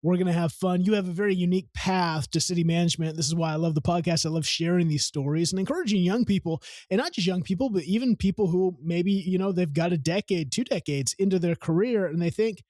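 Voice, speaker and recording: A man with a fairly high speaking voice hosts a podcast, moving from a polished, earnest scripted opening into easy interview talk. The sound is dry and close, with no room around it. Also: quick pace, few pauses, darkish tone, no echo. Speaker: podcast host. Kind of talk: scripted intro then conversational interview.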